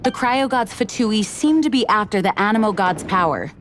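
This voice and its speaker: An actress playing an earnest, dutiful young knight commander in a video game, speaking formally and carefully. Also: female voice, mid-range voice, expressive, moderate pace, noisy background, close to an everyday voice. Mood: composed, serious.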